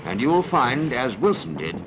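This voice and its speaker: Actor as emphatic narrator, swooping pitch, noisy. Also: male voice, very animated, normal speed, highs cut off, close to an everyday voice. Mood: grave, admonishing. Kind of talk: 1940s dramatised instructional film narration. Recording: very noisy 1940s film soundtrack, engine din.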